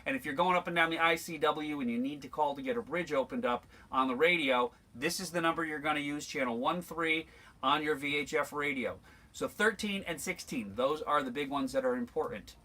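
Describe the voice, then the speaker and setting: An American man with a slightly high, bright and forceful voice teaches like a sailing instructor. He is plain-spoken and emphatic, repeating key points in an informal how-to style. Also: fairly quick. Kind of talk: informal instructional demonstration to camera.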